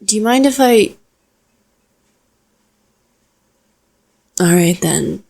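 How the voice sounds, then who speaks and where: A woman playing a protective, self-deprecating young witch in an audio roleplay, talking softly and reassuringly to the listener. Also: medium pitch, lively intonation, moderate pace, vocal fry. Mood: gentle, protective.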